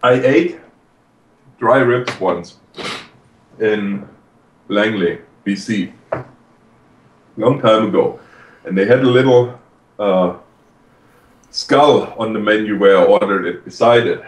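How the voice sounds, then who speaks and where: A man's voice: on the low side, takes it slowly, long pauses. Suffering man, distant in an echoey room. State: suffering from burning hot pepper. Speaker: challenge host recovering from a hot pepper. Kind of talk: casual chat. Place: living room at home. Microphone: distant mic on a video call. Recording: echoey, noisy room heard from far off.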